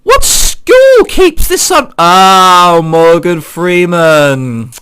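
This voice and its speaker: A man's voice: sits high, sing-song, very slow, fairly bright tone, clear, resonant tone. A man reading a visual novel aloud and breaking off into jokey, theatrical asides, with game music behind him. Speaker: let's play host.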